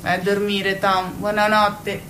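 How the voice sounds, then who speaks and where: A woman's voice: medium pitch, flat delivery, measured pace, noisy background, lightly acted. An actress playing a childlike, stubborn and affectionate young woman in an Italian radio drama, with a whimsical manner. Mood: tender, soft.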